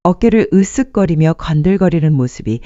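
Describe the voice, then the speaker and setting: Mellow, expressive female storyteller. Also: on the low side, normal speed, dry recording, muffled recording. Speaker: narrator of a story channel. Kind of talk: scripted sentimental storytelling narration.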